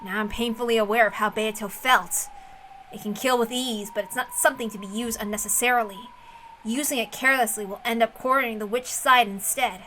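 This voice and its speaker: A woman voicing a brooding, calculating male sorcerer in a visual novel, speaking in a serious, deliberate, dramatic way. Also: fairly high pitch, steady tone, pushed and bright, slight background noise, poor audio.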